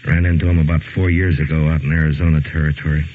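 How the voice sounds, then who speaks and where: A man with an American accent playing a stern, weary US Marshal in a 1950s radio western, dry and steady. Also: very deep voice, quick pace. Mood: cool menace. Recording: tinny noisy 1950s radio recording.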